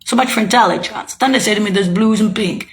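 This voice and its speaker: A woman's voice: mid-range voice, quick pace. A woman speaking a rambling, heated monologue, swearing and accusing as she jumps from thought to thought. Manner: loose, jumping from thought to thought.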